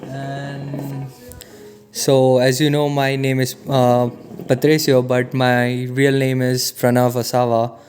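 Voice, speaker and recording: A young man talks in an easy, candid way on a student podcast. His voice sits at a mid pitch, and faint music plays behind him. He keeps leaning on phrases like "to be honest.". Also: completely flat delivery, slow pace, a little mellow. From western India. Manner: informal, repeats "to be honest". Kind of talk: casual chat.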